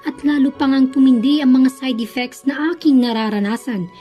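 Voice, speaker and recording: A young woman with a Filipino accent reading scripted radio drama narration in an earnest, measured way, playing host and confessional letter writer. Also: high-pitched, mellow, natural voice. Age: young adult. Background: music.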